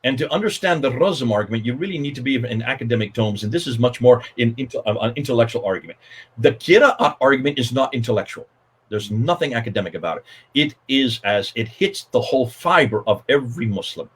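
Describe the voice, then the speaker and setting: A man's voice: mid-range voice, expressive, fast, slightly rough. Emphatic older man on a video call. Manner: emphatic, repetitive, gesturing a lot. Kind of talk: animated storytelling in a panel discussion. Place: home study with bookshelves. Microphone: video call webcam.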